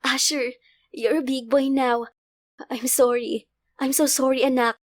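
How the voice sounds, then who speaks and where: A woman's voice: high-pitched, steady tone, measured pace, no echo, studio quality. Woman acting a tender, emotional young mother. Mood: tearful.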